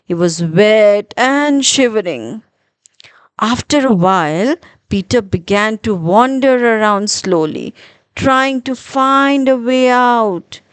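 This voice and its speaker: A woman narrating a children's story in an expressive, deliberate storytelling manner with dramatic pauses. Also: on the high side, clear, resonant tone, dry recording.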